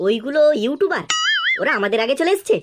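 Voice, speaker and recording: A man voicing a naive, boastful young village vlogger in a comedy cartoon, with a West Bengal accent and animated delivery through a pitched-up effect. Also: quick pace, music in the background. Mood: incredulous. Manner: animated, exclamatory. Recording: clearly noisy track with clicks.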